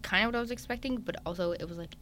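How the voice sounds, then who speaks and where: A young man, a guest in a casual chat, talking openly and at length with lots of fillers and animated retellings. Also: high-pitched, rapid-fire, a little hiss or hum. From Newfoundland, Canada. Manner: chatty and open, many "like" fillers, animated retellings.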